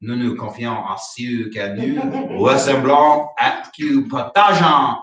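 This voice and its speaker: A man's voice: mid-range voice, slow pace, highs cut off, a crowd in the background, natural voice. A man playing a pretentious, theatrical man with a grandiose delivery in a staged play reading. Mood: pompous, reflective. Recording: echoey, noisy room, far from mic.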